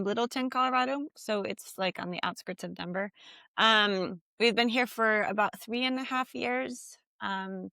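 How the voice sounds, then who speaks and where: A woman's voice: mid-range voice, bright, forceful tone, clear, resonant tone, no echo. Chatty woman from Costa Rica. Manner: chatty, many fillers. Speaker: podcast guest, author. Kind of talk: casual conversation.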